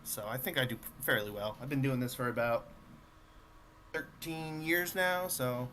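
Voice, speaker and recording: A man's voice: mid-range voice, a little slow, sharp tone, slight background noise. A man playing a confident, seasoned blacksmith in a tabletop game, polite and proud of his craft. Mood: proud, assured. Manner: polite, self-assured.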